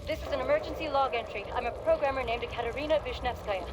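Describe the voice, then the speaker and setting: A panicked female programmer in a video game, played by a woman. Her frightened voice is high and urgent. Very loud noise all but swamps the recording. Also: fast, close to an everyday voice. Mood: urgent fear.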